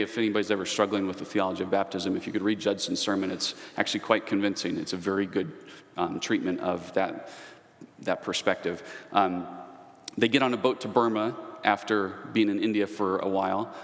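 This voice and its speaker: A man with a fairly low voice delivers a relaxed, conversational lecture. He drifts into side comments and stories from his own teaching. His voice rings out with the long reverb of a large hall. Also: brisk. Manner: digressive, asides and personal anecdotes. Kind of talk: conversational church history lecture.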